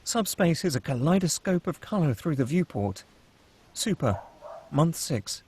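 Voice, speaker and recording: Husky man reading stage directions. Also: fairly high pitch, measured pace. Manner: steady, matter-of-fact reading. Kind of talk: table read narration.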